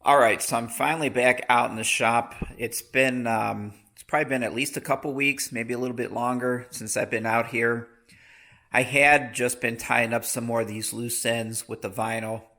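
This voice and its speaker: A man with a cold, his voice rough and gravelly, chatting casually in his home workshop about building his aircraft, rambling and correcting himself as he goes. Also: medium pitch, steady tone, normal speed, bright, forceful tone. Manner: rambling, self-correcting, with hesitations. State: has a cold.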